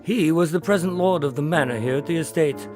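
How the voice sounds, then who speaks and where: A man playing a grave Victorian gentleman in a detective radio drama, formally recounting a mysterious case. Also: on the high side, fairly quick, over music, natural voice. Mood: grave, ominous. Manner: formal, expository storytelling.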